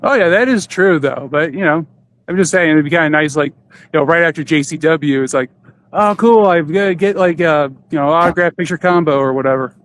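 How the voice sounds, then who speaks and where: A man's voice: a little high, brisk, fairly bright tone. A man chatting casually as the host of a podcast. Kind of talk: casual chat.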